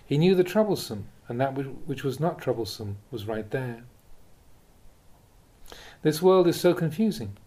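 A man reading Buddhist teachings aloud in a measured, deliberate way with emphatic pauses. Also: medium pitch, animated, mellow. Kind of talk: read-aloud spiritual teaching.

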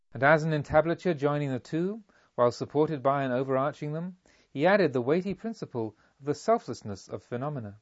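A man reading scripted literary narration in a solemn, deliberate and measured manner. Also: fairly high pitch, highs cut off. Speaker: audiobook narrator.

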